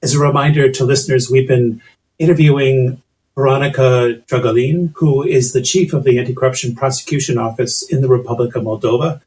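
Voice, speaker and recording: A man hosting a podcast interview, his voice at an everyday middle pitch. He poses long, considered questions and builds them up slowly before reaching the point. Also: steady tone, darkish tone, low-quality recording. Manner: thoughtful, long winding questions. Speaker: podcast host.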